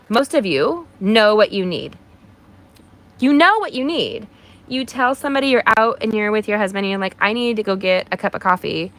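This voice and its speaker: A woman's voice: medium pitch, a little hiss or hum, rough recording. A woman hosting a live card-making stream, chatting and encouraging her viewers as she works, her voice a little nasal from allergies. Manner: warm encouraging, talks to viewers by name. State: mild allergies. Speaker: craft demonstrator hosting a livestream. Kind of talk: chatty live craft demonstration.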